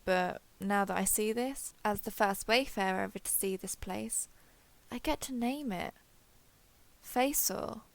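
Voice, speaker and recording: Woman acting as sardonic space explorer captain, gravelly fry, mid pitch, super dry close sound, log monologue for audio drama. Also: no echo. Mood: awed, wistful. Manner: sardonic, grumbling, casual spoken asides. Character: wry, weary alien spaceship captain, explorer, awed by discovery.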